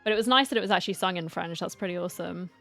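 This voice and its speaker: A woman's voice: fairly low pitch, racing, creaky, pushed and bright. A woman giving casual, chatty reaction commentary on a video game, talking back to the characters. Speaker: reaction streamer. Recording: faint game music behind.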